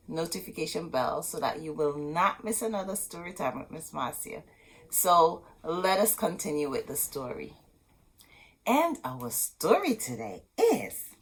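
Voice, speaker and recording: A woman's voice: on the low side, sing-song, takes it slowly. A woman hosting a children's storytime, reading a storybook expressively and talking directly to young listeners. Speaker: children's storytime host and reader. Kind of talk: children's storybook read-aloud with asides.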